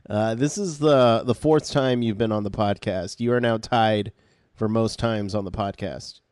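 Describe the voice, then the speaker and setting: A man's voice: lowish voice, unhurried, darkish tone, clear, resonant tone, dry recording, studio quality. A man in his late twenties hosting a podcast, teasing his guest and laughing often in casual chat. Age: 20s. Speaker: podcast host.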